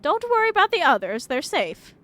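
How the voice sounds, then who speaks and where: Woman playing a high-voiced, authoritative game mother. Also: big pitch swings, a little fast, sharp tone, heavily acted. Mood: composed. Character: composed, brisk mother confronting a haunting.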